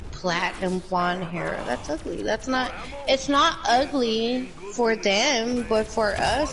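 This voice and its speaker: Blunt, slow-talking woman over crowd chatter. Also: on the high side, vocal fry. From United States. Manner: blunt, emphatic, profane. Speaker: podcast host. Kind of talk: casual opinionated chat.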